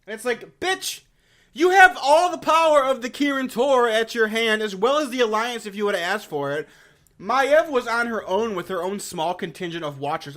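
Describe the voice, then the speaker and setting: A man with a notably high voice hosts a casual review of game lore. He is blunt and exasperated, swears freely in loose slang, and slips into mocking asides as he argues his opinions. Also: a bit bright.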